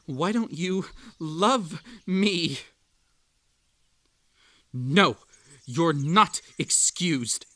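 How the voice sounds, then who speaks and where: A man playing an obsessive, unhinged magical hare hosting a tea party in a roleplay audio, with a theatrical, halting delivery that swings between sweet coaxing and menace. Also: fairly high pitch, a bit bright, no room sound, studio quality. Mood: desperate, menacing. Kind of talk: roleplay audio acting, intimate second-person address.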